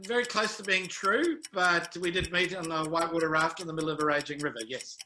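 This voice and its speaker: A man's voice: on the high side, fairly quick, noisy background. A New Zealand man in his fifties, giving short, dry, good-humoured interview answers with hesitant fillers. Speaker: interview guest, travel sales manager.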